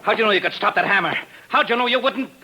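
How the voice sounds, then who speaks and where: An actor playing a gruff, exasperated police officer in a 1950s radio crime drama, firing off blunt, insistent questions. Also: male voice, sits high, fast talker, sharp tone, heavily acted. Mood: exasperated, concerned. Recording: very noisy old radio recording.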